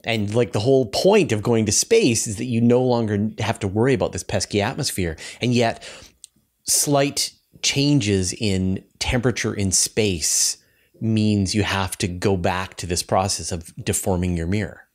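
A middle-aged man with a low-pitched voice, speaking as an interviewer. He talks conversationally, as the host of a science interview on a video call. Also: unhurried. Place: indoor room, video call.

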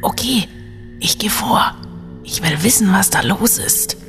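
A woman playing a curious, wary young student scouting ahead in an audio drama, with halting delivery. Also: mid-range voice, expressive, music in the background, lightly acted. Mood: tense.

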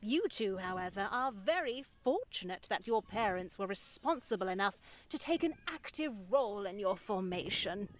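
A woman playing a pious, stern older nun in a visual novel game, speaking in a measured, formal, sermonizing way. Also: mid-range voice, animated, close to an everyday voice. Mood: solemn, admonishing. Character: pious, stern older nun addressing girls in her care.

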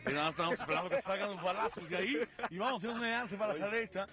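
A man joking around as a guest on a live outdoor TV show at night, speaking into a handheld mic with playful bursts of shouting. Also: high-pitched, very fast, a crowd in the background. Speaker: comedian guest. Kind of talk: joking banter.

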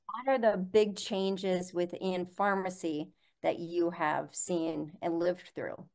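Low-voiced woman hosting a video call. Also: steady tone, a little mellow. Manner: measured, with filler pauses. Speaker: interview host. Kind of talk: moderating a webinar interview. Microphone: webcam on a video call.

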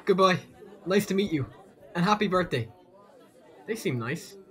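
An actor playing an earnest, polite young aspiring chef in a children's audio drama. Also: male voice, high-pitched, animated, normal speed, fairly bright tone. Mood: friendly, polite. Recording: faint crowd behind the voice.

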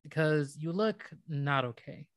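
A man's voice: a little high, unhurried, no echo, clean studio sound, lightly acted. A man playing a cheerful, doting young husband in a radio drama, casual and upbeat. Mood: gently concerned. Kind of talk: naturalistic radio drama dialogue.

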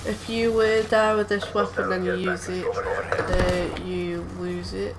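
Woman, slow, hesitant, heavy background noise. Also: on the low side, little pitch movement. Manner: soft-spoken, hesitant, full of ums and groans. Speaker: gameplay commentator. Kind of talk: casual gameplay commentary. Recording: loud background noise from the game. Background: game sounds.

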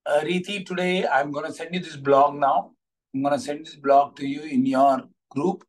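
Higher-pitched Indian man, distant mic. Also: steady tone, moderate pace. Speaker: coach leading an online class. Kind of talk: encouraging talk to students. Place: small curtained room at home. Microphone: video call, device at arm's length. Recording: distant microphone.

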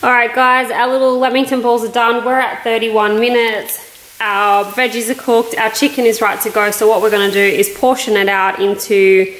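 A woman's voice: fairly high pitch, level tone, normal speed, fairly bright tone, faint music behind. A woman in her thirties with an Australian accent, casually explaining a cooking routine to camera in a home kitchen. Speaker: health vlogger. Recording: echoey kitchen with background noise.

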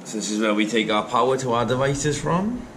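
A man's voice: mid-range voice, animated, brisk, fairly bright tone. Man demonstrating, noisy room recording. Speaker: product demonstrator. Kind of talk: informal how-to product demonstration. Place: indoor showroom at a demonstration table.

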